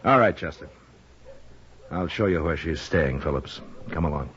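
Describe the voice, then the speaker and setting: A man with an American accent playing a laconic, steady Old West marshal in a radio western, speaking in a dry, understated way. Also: rumbling low, moderate pace, very noisy. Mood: dry, calm. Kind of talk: 1950s radio western acting. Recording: muffled vintage radio recording.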